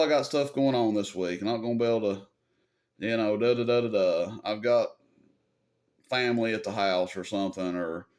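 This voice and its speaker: Plain-spoken American man talking casually to viewers. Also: mid-range voice. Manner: plain-spoken, folksy, mildly salty. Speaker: video host and CB radio seller. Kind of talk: unscripted casual advice and storytelling to viewers.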